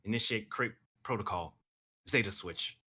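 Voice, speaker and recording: A man's voice: on the low side, normal speed, bright, forceful tone, slightly rough. A young man playing a grim, vengeful masked ninja hero in a story reading, with terse, intense delivery. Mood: firm, urgent.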